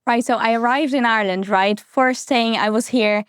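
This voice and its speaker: Lively woman. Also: fairly high pitch, moderate pace, clear, resonant tone, no echo, studio quality. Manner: animated, laughs often, tag questions. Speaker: podcast guest, recruiter. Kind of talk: casual interview chat.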